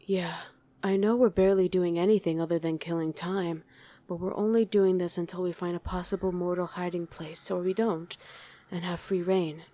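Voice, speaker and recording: Wry, irritable female demon played by a woman, slightly low voice, conversational ASMR roleplay banter with a work partner. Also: steady tone, moderate pace, mellow, lightly acted. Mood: irritated. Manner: conversational, hesitant musing, bickering with a partner. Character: female demon on mortal-hunting duty, wry, easily irritated. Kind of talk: ASMR roleplay acting to an unheard listener.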